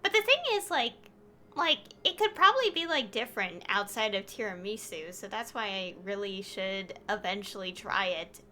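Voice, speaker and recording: A woman's voice: mid-range voice, animated, moderate pace, sharp tone, a little hiss or hum. A woman streaming a game with casual, rambling, chatty, giggly commentary.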